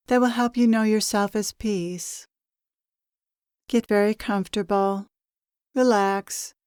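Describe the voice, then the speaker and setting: Slow, smooth-voiced woman guiding meditation. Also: mid-range voice, no echo, studio quality. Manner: soothing, measured, gently instructive with pauses. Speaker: meditation guide, spiritual channeler. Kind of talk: guided meditation, channeled spiritual teaching.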